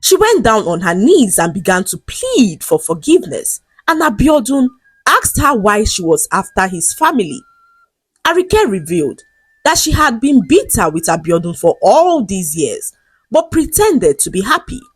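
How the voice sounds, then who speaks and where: A woman storyteller delivers a scripted folktale with highly animated pitch. Her voice has a husky edge, and the recording is close and dry. Her phrasing is deliberate and dramatic. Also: dry recording, rough recording.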